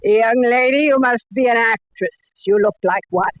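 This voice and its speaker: Actress as a tippling grande dame, older, theatrical, gushing, dull dry vintage radio sound. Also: female voice, fairly high pitch, normal speed, dry recording, lightly acted. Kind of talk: 1940s radio acting.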